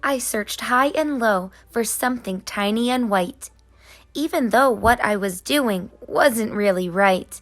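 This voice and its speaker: A mischievous young girl , read by a woman, tells her storybook tale in sing-song rhyme. The voice is fairly high and a little anxious. Light music sits underneath. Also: measured pace. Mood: sneaky. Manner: sing-song rhyming delivery. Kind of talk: children's storybook narration in character.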